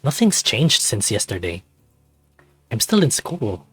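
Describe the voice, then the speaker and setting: Man playing an exasperated, animated teenage soccer player. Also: lowish voice, a little slow, husky, no room sound, soft background music, heavily acted. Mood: dry, exasperated. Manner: exasperated, sarcastic. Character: grumpy, excitable teenage male high school soccer player.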